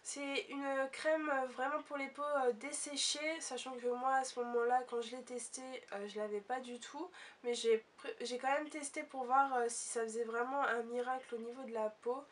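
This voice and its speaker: A young woman talks through beauty products in a chatty, hesitant way. Her voice sits high and sounds stuffy from a cold. She is a little distant from the microphone. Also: steady tone, moderate pace. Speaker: beauty vlogger. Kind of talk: casual product review.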